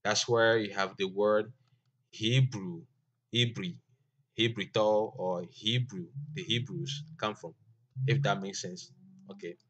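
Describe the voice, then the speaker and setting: A man whose first language is Ewe, giving an informal language lesson in a slow, halting way with many fillers and restarts. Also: lowish voice, steady tone. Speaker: teacher. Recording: dull lo-fi recording.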